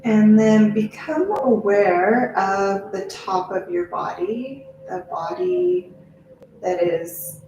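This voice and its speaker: A woman yoga teacher leading a guided meditation in a gentle, unhurried way, with short, spaced-out phrases. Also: medium pitch, lively intonation, highs cut off, noisy background. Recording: very echoey, music under the voice. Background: music.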